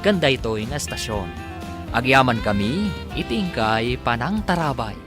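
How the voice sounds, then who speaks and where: A man's voice: mid-range voice, animated, measured pace. A man with a Filipino accent reading dramatic scripted narration as the announcer of a radio drama. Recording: music bed under radio narration.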